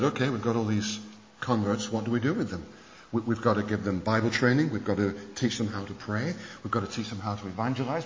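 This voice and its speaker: A man preaching at a conference, telling church history stories in an animated way with many restarts. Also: fairly low pitch, a little hiss or hum. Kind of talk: sermon lecture on church history. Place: conference hall. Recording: muffled hall recording with long reverb.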